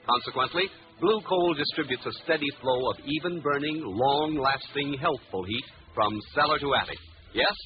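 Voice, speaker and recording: A man with an American accent reading as an announcer and pitchman in 1940s radio advertising style, on an old recording. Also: muffled recording, background noise.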